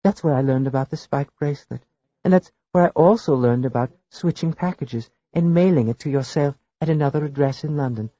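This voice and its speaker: A man voicing a small, mild old priest in a story reading, speaking gently, politely and knowingly. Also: on the high side, fairly quick, no room sound, pitched above the performer's own voice, close to an everyday voice. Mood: gentle. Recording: dull lo-fi dry recording.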